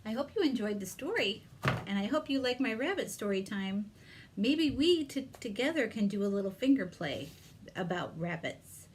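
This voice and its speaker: A woman with a mid-pitched voice reads a children's story in an expressive storytime style. She slips into chatty asides for her young listeners, some of them whispered. There is light noise in the recording. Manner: asks young listeners simple questions. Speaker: children's librarian leading storytime. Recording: noticeable background noise.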